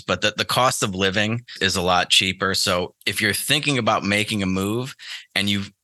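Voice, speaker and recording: An American man talking casually as an interview guest, thinking aloud in a halting way. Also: on the low side, pushed and bright, no echo. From United States. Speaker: podcast guest, trader.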